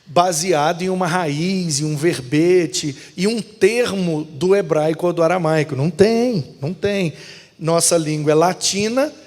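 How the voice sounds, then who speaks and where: Pastor-teacher, explanatory, asks and answers his own questions, slightly high voice, reverberant room. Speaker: pastor teaching a hermeneutics class. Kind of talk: bible class lecture.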